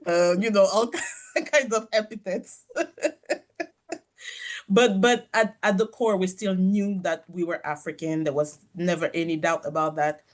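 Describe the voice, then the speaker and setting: A woman's voice: fairly low pitch, steady tone. French-raised woman telling her story. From France. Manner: measured, reflective storytelling with soft laughs. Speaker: guest. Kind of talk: conversational interview. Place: her office.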